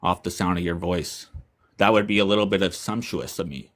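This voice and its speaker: A man's voice: deep voice, a little fast, fairly bright tone. A man calling into a livestream, arguing with pointed, sarcastic questions. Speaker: livestream caller. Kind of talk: argumentative debate.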